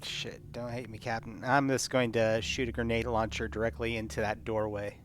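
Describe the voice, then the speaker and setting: A man of average pitch plays a tabletop roleplaying game. He talks casually, working out his turn aloud, with faint music in the background. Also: flat delivery, normal speed. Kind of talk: casual chat while playing. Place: live online game stream.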